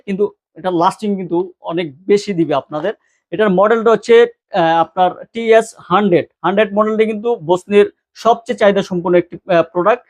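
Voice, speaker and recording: A man's voice: high-pitched, no room sound. A Bangladeshi man presenting products informally like a shop seller, repeating key words and prices.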